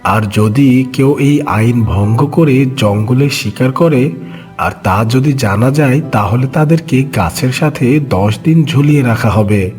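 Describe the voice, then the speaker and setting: A man's voice: medium pitch, slow, a little mellow. Male cartoon storyteller over light music. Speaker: narrator. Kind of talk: scripted children's story narration.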